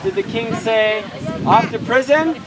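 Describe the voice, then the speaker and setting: A man speaking as pastor and emcee, quizzing children at an outdoor puppet show, repeating their answers and checking with short questions. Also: unhurried. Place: outdoors at an open-air show. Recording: noisy outdoor recording with traffic rumble.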